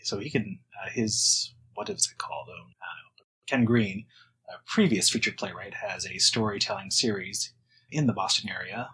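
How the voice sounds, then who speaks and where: Husky man interviewing in halting phrases. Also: lowish voice, fairly bright tone, rough recording. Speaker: podcast host, interviewer. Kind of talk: casual interview chat.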